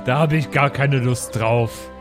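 A man's voice: medium pitch, measured pace, music in the background, close to an everyday voice. A man playing a fretful, naive dwarf brother in improvised tabletop role-play, chatty, fussy and whining. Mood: plaintive.

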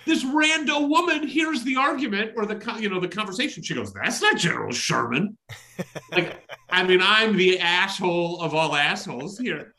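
A middle-aged man with a loud voice, hosting a podcast and telling an anecdote in casual chat, exclaiming and acting out the dialogue as he goes. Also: very high voice. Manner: exclamatory, acts out dialogue in his stories. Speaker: podcast host.

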